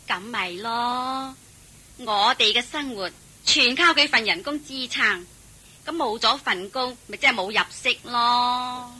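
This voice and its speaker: An actress playing a grudging, hard-up young wife in a radio drama, speaking curtly with a Hong Kong Cantonese accent. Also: female voice, a little high, slow, bright, forceful tone, husky, exaggerated voice, low-quality recording. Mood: curt, disdainful. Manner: grumbling, curt, sighing. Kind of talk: Chinese radio drama acting.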